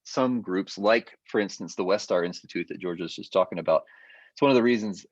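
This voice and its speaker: A man with a fairly low voice gives an adult education lecture over a video call. He explains at length and pauses on fillers as he goes. The audio is dry and a little muffled. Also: no room sound. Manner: explanatory, hesitant with frequent fillers.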